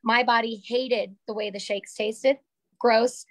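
A woman in her forties with a slightly raspy voice, sharing a candid personal story on a video call from a small room at home. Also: a little high, steady tone, takes it slowly, dry recording. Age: 40s. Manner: candid, chatty, gestures with her hands. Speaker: call participant. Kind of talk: casual personal testimonial. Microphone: webcam on a video call.

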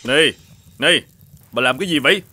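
A man playing a grumpy, fault-finding middle-aged shoemaker in an animated fairy tale, with a scolding, exclamatory manner. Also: mid-range voice, a little fast, breathy edge, dry recording. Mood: exasperated scolding.

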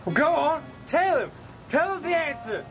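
An actor playing a gleeful, cackling old backwoods coot in a western horror film, folksy, whooping and excitable. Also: male voice, very high-pitched, normal speed, distant mic, faint music behind, full character voice. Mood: eager.

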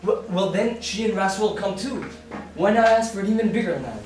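A man's voice: high voice, lively intonation, brisk. A young man playing an earnest, lovestruck slave in a stage play, speaking ardently. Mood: tender, pleading. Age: young adult. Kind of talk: amateur romantic stage acting. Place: small theatre stage. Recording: very echoey theatre, distant, music behind.

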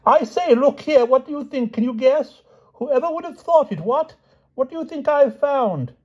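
Giddy, dithering king for a storybook: very high, wildly animated, blustering and full of questions. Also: moderate pace, husky, lo-fi sound, exaggerated voice. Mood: giddy excitement. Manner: blustering, chatty, repetitive questioning. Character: giddy, excitable, dithering old-fashioned English king.

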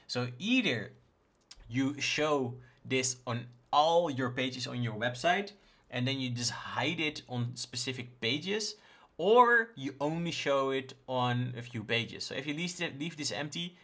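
A man in his thirties from the Netherlands, a native Dutch speaker with a Dutch accent, casually presenting a software tutorial. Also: medium pitch, lively intonation, a little slow. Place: indoors in a home room, screen recording with webcam.

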